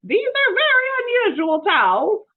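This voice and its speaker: A woman voicing a puzzled, mild gentleman of the house in a storybook, with a questioning manner. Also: very high voice, wildly swooping pitch, moderate pace, pitched above the performer's own voice, full character voice. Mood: surprised. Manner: questioning, mildly baffled. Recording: muffled narrow phone-like audio.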